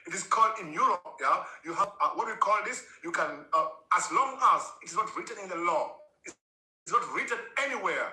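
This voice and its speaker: Male debater, rapid and insistent, repeats phrases, echoey sound. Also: high voice, animated, pushed and bright. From Africa. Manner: insistent, repeats phrases, talks over others. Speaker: debate panellist. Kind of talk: heated debate.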